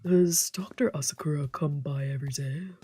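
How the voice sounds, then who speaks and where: A woman voicing a low-key, probing male protagonist in a visual novel, casual and understated. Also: moderate pace, mellow, husky, soft background music. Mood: casually probing. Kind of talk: visual novel character read-aloud.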